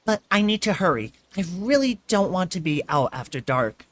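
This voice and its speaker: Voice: medium pitch, sing-song, a bit bright, pitched above the performer's own voice. A reader voicing a sensible young female unicorn who speaks politely but firmly. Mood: stern. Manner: polite, then stern and hurried.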